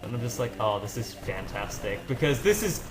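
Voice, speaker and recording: A deep-end-of-average male voice gives casual gaming commentary, a little low in pitch. He tells rambling, animated stories while game music plays clearly behind him. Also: normal speed, poor audio. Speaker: let's play commentator. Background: video game music.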